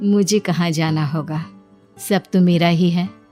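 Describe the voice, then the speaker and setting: Casting: woman as self-assured, enigmatic mother for cartoon tale, composed, lower register. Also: steady tone, measured pace, mellow, faint music behind, lightly acted. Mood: calm, cryptic.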